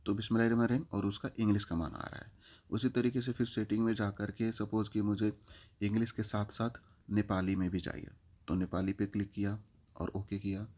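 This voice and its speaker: A man's voice: lowish voice, mellow. A man giving an informal step-by-step app walkthrough, explaining with examples and repeating his instructions. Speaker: app tutorial presenter.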